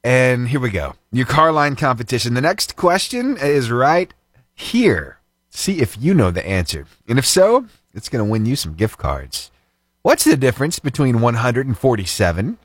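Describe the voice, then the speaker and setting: Male radio morning-show host, lively pitch, dry close studio sound, fervent then chatty. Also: normal speed, no room sound. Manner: animated, fervent praying then chatty and upbeat. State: slightly itchy throat, voice lower than usual. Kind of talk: prayer and casual radio chat. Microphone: studio microphone.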